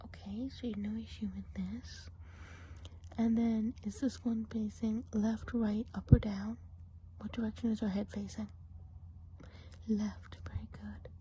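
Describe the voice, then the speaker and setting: A woman playing a friendly, gossipy witch of the woods in a hushed, chatty ASMR role play, in a soft breathy whisper. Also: a little high, flat delivery, unhurried, long pauses, highs cut off, a little hiss or hum, lightly acted. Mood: gentle, attentive.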